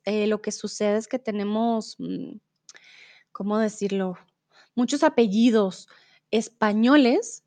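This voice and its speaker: A woman's voice: on the high side, darkish tone, dry recording. A young adult woman from Colombia with a Bogotá accent, teaching a live online Spanish class in a chatty way. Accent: Bogotá Colombian, with a little Mexican. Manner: chatty, reads out chat messages, switches to English and German.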